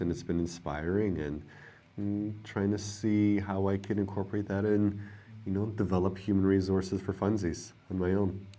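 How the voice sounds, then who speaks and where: Very deep-voiced, tired man. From United States. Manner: soft-spoken and drowsy, trailing off quietly. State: tired, not well rested. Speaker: livestream host. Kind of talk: casual livestream chat, reading viewer comments.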